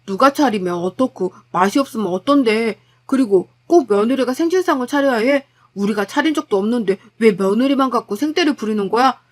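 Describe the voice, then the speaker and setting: A woman's voice: on the high side, lively intonation, a little fast, few pauses. A woman voicing an exasperated, blunt husband caught in a family quarrel. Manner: blunt, irritated. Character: exasperated young married man, blunt.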